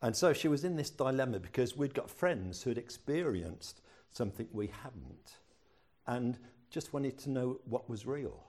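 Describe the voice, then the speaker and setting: A man's voice: mid-range voice, animated, a little slow, darkish tone. An older man, a pastor preaching a sermon in a chatty storytelling way with self-deprecating humor.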